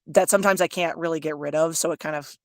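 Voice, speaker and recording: A woman with a low register and a constant gravelly fry in her voice, talking candidly and with self-deprecating humor. Her speech is loose and chatty, full of fillers and quick restarts. The sound is dry and close, with no room around it. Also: steady tone, dry recording. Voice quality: low voice with heavy vocal fry. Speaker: audiobook narrator. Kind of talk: casual interview answers.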